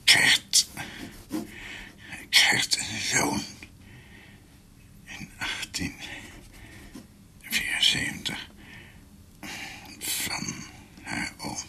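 An actor playing a dying old doctor in a radio drama, weary and in pain, speaking in halting, whispered, broken phrases. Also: male voice, sharp tone, full character voice. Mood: labored, solemn. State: in pain, struggling for breath.

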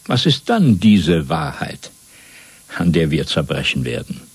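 A man playing a cold, fanatical Party interrogator in a radio drama, speaking in a measured, domineering, rhetorical way. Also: a bass voice, sing-song, vocal fry, breathy edge, exaggerated voice. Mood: probing, scornful. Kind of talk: German radio drama acting.

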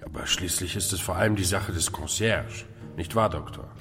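Low-voiced curious neighbour, reverberant. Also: male voice, normal speed, gravelly, a bit bright, faint music behind. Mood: curious, affable. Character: youngish, heavyset, curious and affable male neighbour. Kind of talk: radio drama acting.

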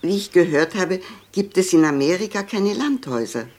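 A woman's voice: lowish voice, expressive, moderate pace, darkish tone. An older actress playing a snobbish, gossiping old English society lady in a 1960s German radio play, condescending and rambling. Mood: condescending, gossipy.

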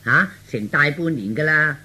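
Sharp-voiced gossipy Cantonese auntie. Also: female voice, low-pitched, lively intonation, moderate pace, breathy edge, exaggerated voice. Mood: gossipy, confiding. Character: gossipy female relative, meddling go-between bringing news. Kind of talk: Cantonese radio drama dialogue.

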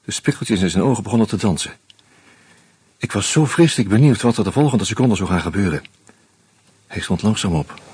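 Casting: a sardonic, boozy narrator in a radio play, lowish voice, very breathy-husky tone. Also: male voice, a little mellow. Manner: slow, sardonic, confronting. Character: drunk bitter man exposing a murderer. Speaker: Hans Troebo, narrator. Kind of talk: first-person radio drama narration.

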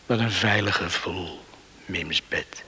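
A very breathy, low-pitched young man in a radio play, exhausted and safe in bed after a rescue. He speaks slowly and dreamily to himself, often dropping to a half-whisper. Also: pushed and bright, exaggerated voice. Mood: relieved, content. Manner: slow, dreamy, musing to himself. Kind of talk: radio drama monologue.

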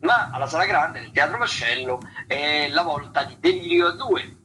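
A man's voice: fairly high pitch, animated, normal speed, bright, forceful tone, slight background noise, rough recording. A man with an Italian accent giving informal theatre commentary on the radio in a hesitant, digressive, wry way.

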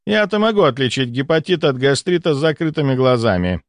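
A man's voice: medium pitch, expressive, fairly quick, dry recording, clean studio sound, pitched above the performer's own voice, lightly acted. A man voicing a pompous, self-satisfied male doctor with a mocking, theatrical delivery in an audiobook. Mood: smug.

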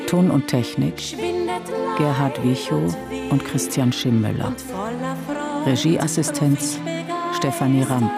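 Woman announcer w really deep voice, slow formal credits read for radio play, loud music behind. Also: creaky. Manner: formal, list-like delivery. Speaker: announcer reading title and credits. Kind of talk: scripted radio drama announcement.

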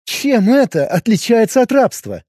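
A man's voice: very high voice, very animated, moderate pace, darkish tone, husky, no room sound, clean studio sound, pitched above the performer's own voice, full character voice. Leisav, a man voicing a sarcastic young woman. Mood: sarcastic bold. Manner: raised, pert, mocking tone. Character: sharp-tongued young woman, bold, sarcastic. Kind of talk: audiobook character voice.

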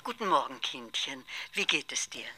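An actress playing a chatty, self-assured crime novelist in a German radio drama, familiar and confiding in manner. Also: female voice, a bass voice, animated, moderate pace, sharp tone, a little hiss or hum, full character voice, low-quality recording. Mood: solicitous.

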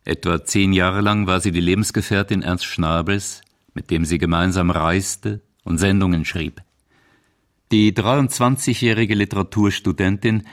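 Deep-voiced male documentary narrator. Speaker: feature narrator.

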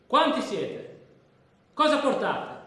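Man playing a high-pitched, badgering customs officer. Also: sing-song, slow pace, sharp tone, reverberant, heavily acted. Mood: insistent, badgering. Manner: repetitive, insistent questioning. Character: badgering, mechanical customs officer demanding a toll of one florin.

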